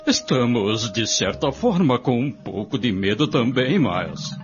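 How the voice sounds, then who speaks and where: A man playing an uneasy but reassuring master of the house in a radio soap, speaking haltingly. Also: medium pitch, sing-song. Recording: dull lo-fi radio play with music.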